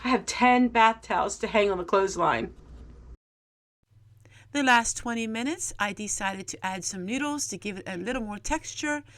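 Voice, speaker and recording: A woman's voice: medium pitch, normal speed, a bit bright, no echo. A woman narrating her homemaking in a casual vlog, chatty and folksy, as if talking aloud to herself. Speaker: homesteading vlogger.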